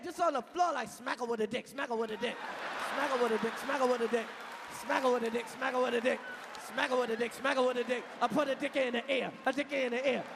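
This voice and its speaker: A man in his thirties doing stand-up on a big stage, his voice high and gravelly as he shouts punchlines into a handheld mic. The sound is a live hall recording with a long reverb tail, and a loud crowd and noise sit behind him. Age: 30s. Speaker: stand-up comedian. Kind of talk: stand-up comedy set. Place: large venue on stage.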